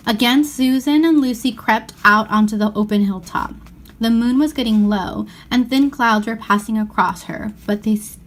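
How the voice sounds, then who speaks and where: A woman reading a children's novel aloud as an expressive storyteller. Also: a little high. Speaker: storybook reader.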